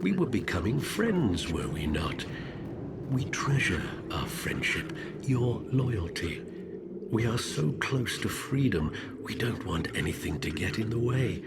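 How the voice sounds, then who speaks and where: A man's voice: deep voice, big pitch swings, takes it slowly, very noisy, heavily acted. An actor playing a menacing ancient male being in a video game, theatrical and grandly persuasive. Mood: coaxing, pleading. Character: menacing ancient male entity, coaxing then wrathful. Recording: loud music over the voice.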